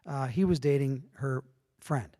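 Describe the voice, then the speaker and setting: A man's voice: medium pitch, a little slow. A middle-aged man telling stories as an interview guest in a casual way, acting out bits of dialogue as he goes. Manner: lively storyteller who acts out dialogue. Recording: long room reverb.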